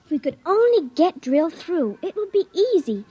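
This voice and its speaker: High, lively little American girl. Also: normal speed. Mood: matter-of-fact, defiant. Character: willful, secretive, excitable little girl. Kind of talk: 1950s American radio drama acting.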